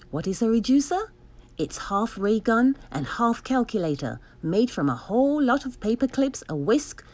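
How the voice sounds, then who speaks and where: A woman narrates a children's storybook aloud. Her delivery is highly animated, with clear enunciation and dramatic emphasis on key words, at a middle pitch. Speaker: storybook reader. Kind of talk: expressive scripted read-aloud for children.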